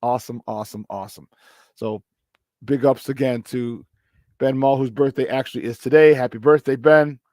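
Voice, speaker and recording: A man hosting a casual morning sports talk show, his voice a little hoarse, repeating words for emphasis and laughing often. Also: mid-range voice, fairly even intonation, normal speed, a little mellow, no echo, clean studio sound. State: slightly hoarse. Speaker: sports talk radio host.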